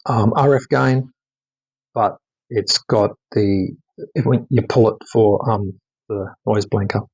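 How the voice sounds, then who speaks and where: A man with a fairly low, husky voice talks through a hands-on demo like a hobbyist at the bench. His delivery is casual and unscripted. He moves haltingly from point to point, often letting a thought trail off. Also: steady tone, darkish tone, poor audio. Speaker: radio hobbyist showing his modified radio.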